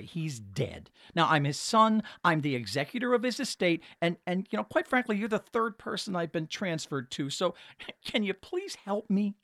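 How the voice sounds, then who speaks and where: Exasperated son, animated, close dry sound. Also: male voice, sits high, no echo, heavily acted. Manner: halting, increasingly exasperated. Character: exasperated grieving adult son calling cable company. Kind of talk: audio comedy acting.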